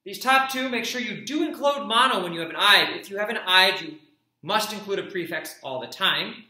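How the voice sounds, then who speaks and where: A man delivering a chemistry lesson in a brisk, explanatory way, dropping casual asides. His voice is very bright and a bit high. It rings in an echoey room. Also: animated. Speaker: chemistry teacher. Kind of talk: recorded classroom lecture.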